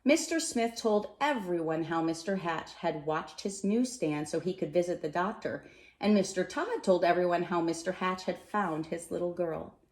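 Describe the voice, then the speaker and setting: Female storybook reader, slightly low voice, expressive children's read-aloud, noticeably roomy recording. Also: moderate pace. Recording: echoey room.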